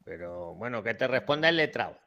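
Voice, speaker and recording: A man's voice: mid-range voice, sing-song, fairly quick, dull audio, noisy background. A man with an accent from Spain hosting an informal live advice discussion, colloquial, often interjecting and directing who speaks. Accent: Spanish from Spain. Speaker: host of a live advice call-in. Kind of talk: informal unscripted group discussion.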